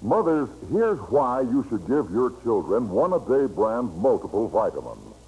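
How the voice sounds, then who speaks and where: A man's voice: medium pitch, very animated, measured pace, warm tone, breathy edge. An American man reading a scripted, earnest advertisement as an announcer on an old-time radio broadcast. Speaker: commercial announcer. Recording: noisy vintage radio broadcast recording.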